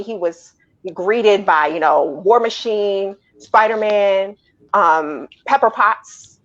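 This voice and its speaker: A woman shares her take as a panelist on a film review show, chatting casually. Her pitch is average for a woman, and the recording is a little dull and lo-fi. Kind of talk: casual chat giving opinions.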